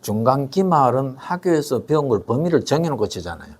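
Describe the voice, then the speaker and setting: Older man, education expert, steady measured delivery, filler-heavy, rhetorical. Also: medium pitch, breathy edge. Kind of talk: explanatory interview talk.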